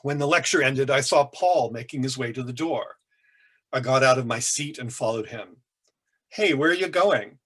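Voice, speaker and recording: A man, an author, reading first-person literary narration aloud in a measured, dry manner. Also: medium pitch, slightly rough. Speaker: author reading from own book.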